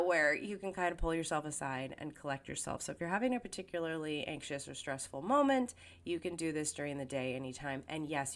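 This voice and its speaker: Woman, podcast host, low pitch, soft conversational guide, casual fillers. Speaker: podcast host and life coach. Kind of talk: guided meditation talk.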